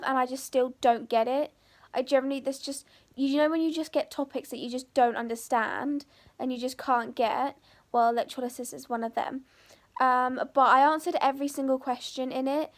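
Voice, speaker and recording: A teenage girl chatting casually to camera for her vlog, voice croaky from a sore throat, full of "like" and "um". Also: high voice. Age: teens. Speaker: vlogger.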